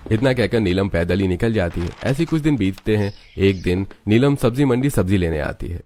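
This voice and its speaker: A man's voice: lowish voice, expressive, normal speed, warm tone, a little hiss or hum. A man narrating a scripted cartoon story.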